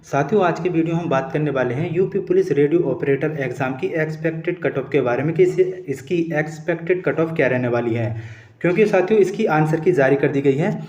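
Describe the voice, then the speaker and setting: A man's voice: a little high, fairly quick, a little mellow. A man presenting an exam update as a coaching host, explaining in a repetitive way and often addressing his listeners directly. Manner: repeatedly addresses listeners as friends, repeats points for emphasis. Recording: dull, lo-fi, echoey room recording.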